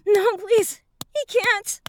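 A woman's voice: extremely high pitch, steady tone, very slow, fairly bright tone, slight background noise, full character voice. A woman playing an overwrought, conflicted adult bride in an explicit audio roleplay, with breathless, moaning delivery. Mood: anguished, pleading.

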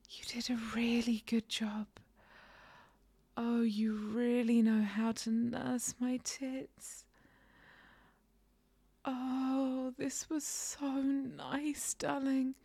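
A woman speaks in a breathy, sighing whisper, close to the microphone. Her voice sits high and she takes her time over each phrase. The sound is dry, with no room around it. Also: drawn-out words, long pauses, mellow, dry recording. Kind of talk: intimate whispered audio roleplay.

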